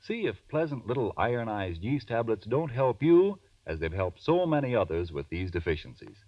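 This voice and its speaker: A male vintage radio announcer with a deep, very animated voice. He delivers formal, emphatic sponsor copy in 1940s style. The recording is muffled and a little distant. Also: moderate pace, dry recording. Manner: formal, emphatic, earnest salesmanship.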